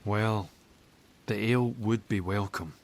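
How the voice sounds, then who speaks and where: Low-voiced, wry hill-country father played by a man. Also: unhurried, slight background noise, lightly acted. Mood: grudging. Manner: wry, earthy, plain-spoken. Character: gruff, weathered hill-dwelling father, wry and stubborn. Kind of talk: video game cutscene acting.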